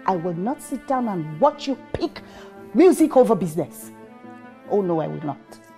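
A woman's voice: medium pitch, big pitch swings, moderate pace, mellow, soft background music. A woman playing a strict, preachy mother who scolds her son. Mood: scolding. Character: strict, furious, pious mother.

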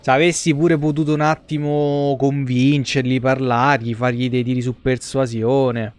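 Male streamer, Roman accent, clear resonant voice; loose, colloquial, swear-heavy play-by-play from a home setup. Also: mid-range voice, level tone, a little slow. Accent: Roman Italian. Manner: foul-mouthed, rambling, colloquial. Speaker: game streamer. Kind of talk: casual live gameplay commentary. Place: small indoor streaming room.

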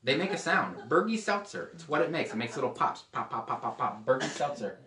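A man's voice: a little high, a little fast, far from the mic. Young man preaching in an echoey room. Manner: lively, animated, asks the audience questions. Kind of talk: sermon. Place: indoor room with whiteboard and podium. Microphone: far camera. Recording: roomy echo, voice away from the mic.

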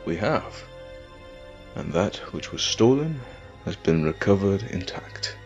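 Man playing a deep-voiced, stern fantasy king. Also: sing-song, slow pace, muffled recording, soft background music, heavily acted. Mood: guarded, stern. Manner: formal, regal. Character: dignified, stern older male king. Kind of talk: audio drama acting.

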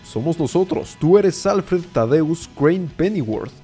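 A man playing a flippant, self-important superhero with an insistent manner in an animated fan film. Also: on the high side, unhurried, breathy edge, faint music behind. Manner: insistent, boastful.

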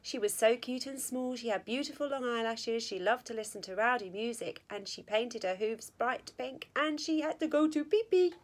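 A middle-aged woman reading a rhyming children's picture book aloud with an expressive, sing-song delivery. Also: on the high side, normal speed, few pauses. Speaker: school staff storyteller. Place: small school office or staff room, indoors. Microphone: phone or tablet at arm's length.

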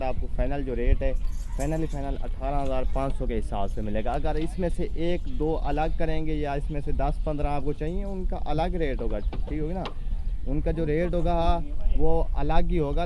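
A man's voice: mid-range voice, background noise. A young man, a goat farm owner selling his herd in a casual vlog, talking off camera, repetitive and salesy, recorded on a phone in an outdoor pen. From Pakistan. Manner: repetitive, salesy, frequent tag questions.

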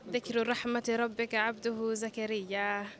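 A woman's voice: on the high side, flat delivery, rapid-fire, a bit bright. A twenty-year-old woman from Sweden answering an interviewer and reciting in a shopping mall. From Gothenburg, Sweden. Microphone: interviewer's small handheld mic.

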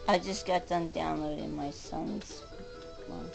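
Middle-aged American woman with creaky voice. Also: low voice, fairly even intonation, measured pace, music in the background. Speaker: home vlogger. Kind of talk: casual, rambling talk to camera. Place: rooms of a home, indoors.

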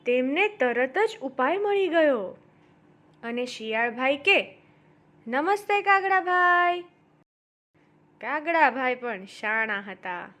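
A woman's voice: sits high, lively intonation, slow pace, fairly bright tone. A woman narrating a story for children as a storyteller, addressing young listeners directly.

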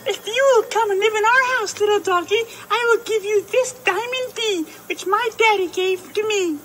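A man voicing a dainty, daffy young damsel in falsetto for a children's puppet storybook skit. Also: moderate pace, bright, forceful tone, slight background noise. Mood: sweet, airy.

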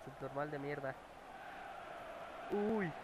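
A Mexican man with a cold talks casually over his own match, exclaiming and cursing at each play. His voice sits a little high. A clip-on mic close to his mouth picks him up, with clear noise behind him. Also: slow. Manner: chatty, exclaims and swears at plays. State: has a cold. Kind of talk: casual gameplay chat. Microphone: clip-on mic near his mouth.

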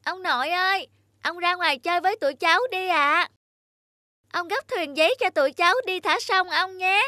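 A woman's voice: extremely high pitch, normal speed, bright, forceful tone, dry recording, studio quality, voice pushed up. A woman voicing a sweet, eager, polite little grandchild in a cartoon. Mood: eager pleading. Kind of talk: cartoon child acting.

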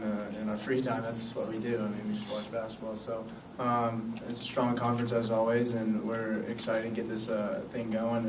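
A young man, a college basketball player, answering reporters' questions at a postgame press conference with lots of "um" and "you know". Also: mid-range voice. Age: young adult. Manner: relaxed, filler-heavy answers with many "um" and "you know". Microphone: table mic, picked up from a distance. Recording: echoey room, distant mic, noisy.

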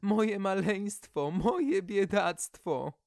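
High-pitched young maiden, played by a man, doting, hot-tempered, dramatic, storybook, unechoed. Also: lively intonation, drawn-out words, vocal fry, darkish tone, no echo, studio quality, heavily acted. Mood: wailing grief. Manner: cooing, wailing and scolding. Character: doting, shrill young maiden raging over her dragons.

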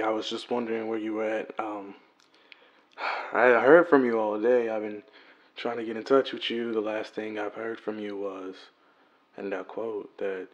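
A man's voice: mid-range voice, gravelly, muffled recording. A man acting a jealous, anxious boyfriend in a song skit, swearing and wavering between panic and anger. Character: jealous anxious boyfriend waiting up all night.

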